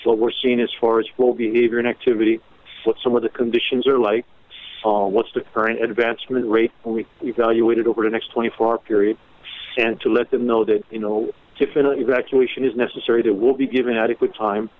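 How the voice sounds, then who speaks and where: A man giving a measured, halting update as an official at a media briefing, with many fillers and restarts. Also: medium pitch, level tone, no echo. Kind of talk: informal media briefing on a conference call. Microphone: phone. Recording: tinny phone line with faint noise.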